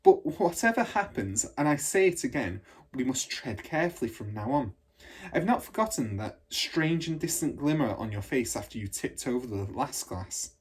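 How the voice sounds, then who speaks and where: A man's voice: medium pitch, lively intonation, normal speed, breathy edge. A man voicing a kind, patient and cautious woman schoolteacher in a children's storybook, speaking in a careful, explanatory way. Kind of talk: children's storybook read aloud.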